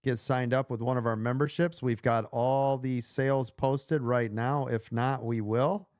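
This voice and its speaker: A middle-pitched man in his fifties, going hoarse on a livestream yet still sounding smooth and clear, recorded dry and close with no room around him. He pitches memberships in a chatty, upbeat way, calling his viewers "you guys.". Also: steady tone, measured pace, darkish tone, no echo. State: losing his voice. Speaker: livestream host and trading coach. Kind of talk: casual livestream sales pitch.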